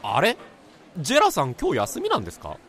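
A man playing a puzzled, concerned junior co-worker in a radio drama, with a polite, inquisitive and expressive delivery. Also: on the high side, fast, slight background noise, full character voice. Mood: surprised. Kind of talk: conversational radio drama acting.

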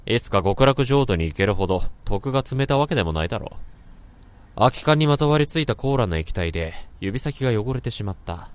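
An actor playing a weary, cynical middle-aged office worker who narrates his own story with dry, sardonic wryness in a radio drama. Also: lowish voice. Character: weary cynical male salaryman, inward-looking.

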